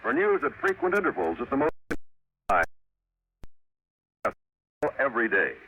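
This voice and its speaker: A man's voice: mid-range voice, very animated, measured pace, bright, forceful tone. A man with an American accent announcing in a polished, formal 1950s radio style, reading scripted copy. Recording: faintly noisy vintage radio recording.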